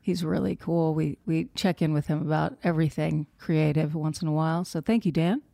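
A woman's voice: low voice, fairly even intonation, a little fast, gravelly, dark tone, dry recording. A woman co-hosting a podcast in a studio, chatty and eager as she asks the guest questions. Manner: chatty, eager interviewer who reads the show intro. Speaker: podcast co-host. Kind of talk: casual podcast chat.

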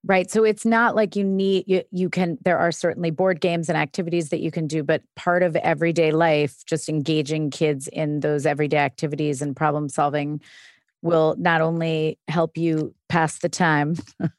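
A woman's voice: deep voice, little pitch movement, moderate pace, no room sound, studio quality. A woman hosting an interview podcast, asking questions and commenting in a casual, chatty way. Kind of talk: conversational interview.

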